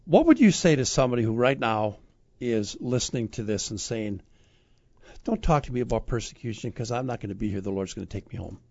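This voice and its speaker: A man hosting an interview, framing his questions haltingly with repeated words. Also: medium pitch, lively intonation, a little mellow, dry recording, lo-fi sound. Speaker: host.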